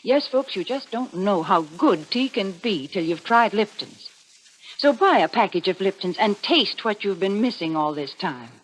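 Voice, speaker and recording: A woman's voice: medium pitch, expressive, moderate pace, lightly acted, low-quality recording. A woman playing a prim, cheerful sponsor's spokeswoman on 1940s radio, chatty and emphatic in a folksy, persuasive way. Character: prim, cheerful sponsor's woman who scolds the ghoulish host. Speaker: commercial announcer. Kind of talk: 1940s radio advertising pitch.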